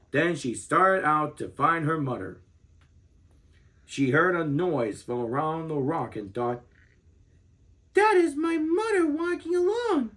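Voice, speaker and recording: Animated man reading a storybook aloud. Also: medium pitch. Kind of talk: expressive children's book read-aloud.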